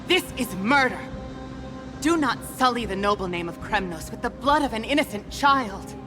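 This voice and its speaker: Fierce, high-voiced warrior queen acted by a woman. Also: expressive, normal speed, music in the background, natural voice. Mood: outraged. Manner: impassioned, commanding, formal. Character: fierce, defiant warrior queen protecting a child. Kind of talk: dramatic video game acting.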